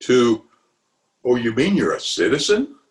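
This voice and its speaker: Man as a slow, blustery radio-comedy uncle. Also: medium pitch, very animated. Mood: politely puzzled. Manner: blustery, hesitant, muttering. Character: blustery, grumbling middle-aged uncle, pompous but kindly. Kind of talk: 1940s radio comedy acting.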